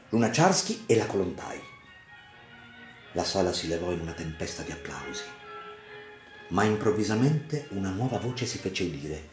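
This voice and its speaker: Deep-voiced, solemn man narrating. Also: a little mellow. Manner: measured, theatrical, solemn delivery with deliberate pauses. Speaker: narrator of a radio drama. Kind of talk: dramatised literary reportage narration. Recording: reverberant voice over music. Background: music.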